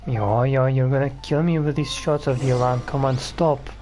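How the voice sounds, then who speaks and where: A man's voice: medium pitch, fairly even intonation, normal speed, a little mellow, faint music behind. A man casually reacting to a video game as he plays, scolding playfully and repeating himself.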